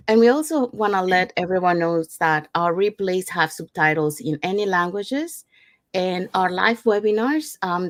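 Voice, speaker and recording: A woman from Panama hosting a live online business talk, welcoming and often pausing with "uh". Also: fairly low pitch, no echo. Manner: welcoming, with frequent fillers. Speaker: host. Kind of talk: friendly webinar hosting.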